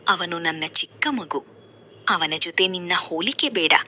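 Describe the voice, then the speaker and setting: Storybook stepmother played by a woman: domineering, firm, lowish, bright. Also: normal speed, slight background noise. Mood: haughty. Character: domineering stepmother doting on her own son.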